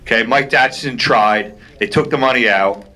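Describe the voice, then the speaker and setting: A man's voice: mid-range voice, moderate pace, pushed and bright. A man speaking at public comment in a council meeting, blunt and exasperated, repeating his point. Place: township council meeting room.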